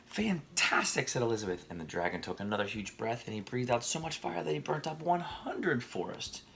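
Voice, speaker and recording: A man reading aloud to children at bedtime, expressive and emphatic, speaking directly to his young listeners. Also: mid-range voice, fairly quick, creaky, a little hiss or hum. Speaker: storybook reader.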